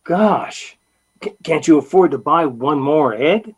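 An actor playing a naive, polite young husband who stammers and hesitates, in old-time radio comedy style. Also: male voice, a little high, expressive, takes it slowly, breathy edge, full character voice. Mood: earnest, hesitant.

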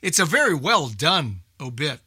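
Bright, forceful man chatting casually. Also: on the high side, very animated, moderate pace, slightly rough, no echo, studio quality. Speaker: old-time radio show host. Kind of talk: casual group chat.

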